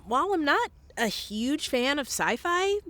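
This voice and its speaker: A woman playing an earnest, slightly awkward young woman, halting and self-effacing, in a naturalistic audio drama. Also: high-pitched, very animated, sharp tone, dry recording, full character voice.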